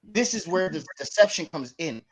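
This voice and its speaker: Debate panelist, male, from Canada, high register, combative and emphatic, repeats phrases, swears freely. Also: animated, moderate pace, slightly rough, rough recording. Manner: emphatic, repetitive, combative, profane. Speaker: panelist on an online debate show. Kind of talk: heated informal argument.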